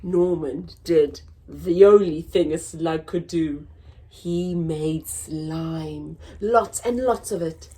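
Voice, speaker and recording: A woman hosting a children's story time, reading a picture book aloud in an expressive, sing-song way for small children. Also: sits low, takes it slowly, a little hiss or hum.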